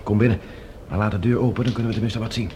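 Low-voiced, tense male professor played by an actor. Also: steady tone, very fast, background noise, natural voice. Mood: hushed, tense. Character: tense but defiant male professor leading infiltrators. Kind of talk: radio drama acting.

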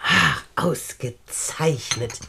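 A woman's voice: sits low, drawn-out words, creaky, pushed and bright, husky, exaggerated voice. An actress playing an elderly, well-to-do aunt in a radio drama, savouring her words theatrically. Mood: pleased, expansive. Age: older. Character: elderly wealthy aunt, relishing and festive. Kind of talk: German radio play acting.

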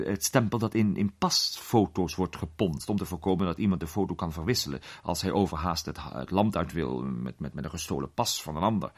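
A man playing an urbane gentleman detective who recounts his case with measured, suspenseful pauses in a radio play. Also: low-pitched, gravelly, husky, heavily acted. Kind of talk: dramatised storytelling in a radio play.

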